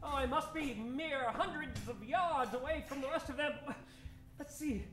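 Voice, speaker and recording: A man's voice: extremely high pitch, measured pace. A man acting an eccentric, theatrical scientist adventurer in an old-time radio style, with florid, exclamatory delivery. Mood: thoughtful, relieved. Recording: roomy stage sound, faint music behind.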